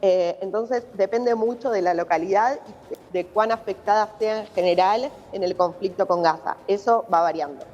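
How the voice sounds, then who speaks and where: A woman's voice: mid-range voice, moderate pace, a little mellow, slight background noise. Argentine woman reporting, in a very echoey room. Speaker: journalist reporting from abroad. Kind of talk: live news interview, explanatory. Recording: muffled remote link, long reverberation.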